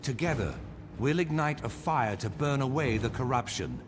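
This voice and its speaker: An actor playing a determined ally who leads a ritual to cleanse a cursed land, speaking earnestly in a game cutscene. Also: male voice, mid-range voice, moderate pace, noisy background, natural voice. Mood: resolute. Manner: earnest, resolute, steady. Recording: game audio over music.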